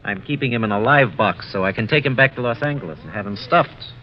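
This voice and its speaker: A suave male con man, acted for old radio, effusive and formal, insisting with genial charm. His pitch rises and dips widely, on a dull vintage recording. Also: lively intonation, fast talker, slight background noise, lightly acted. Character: smooth-talking male ex-swindler, genial, insistent, falsely sincere. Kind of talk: 1950s radio drama acting.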